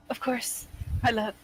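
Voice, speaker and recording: A woman's voice: sits high, fairly bright tone, exaggerated voice. A woman playing a frightened, placating captive in a period horror script reading, sounding anxious.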